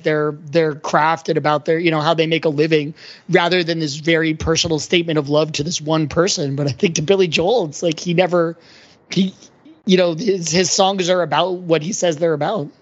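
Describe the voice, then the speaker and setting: Guy on a podcast talking pop culture, casual explainer, kinda lo-fi muffled audio. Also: fairly high pitch. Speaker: podcast panelist.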